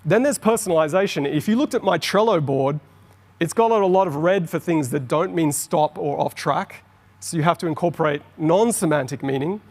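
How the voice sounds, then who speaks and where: A middle-aged Australian man giving an enthusiastic, explanatory conference talk on stage. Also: fairly high pitch. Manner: explanatory, enthusiastic, quickens on asides. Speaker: conference presenter. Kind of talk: keynote presentation. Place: large conference hall on stage. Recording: long hall reverb.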